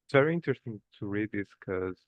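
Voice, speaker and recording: A man from Brazil with a Brazilian Portuguese accent, a seminar participant speaking halting English and searching for words. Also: fairly low pitch, expressive, narrow, tinny audio.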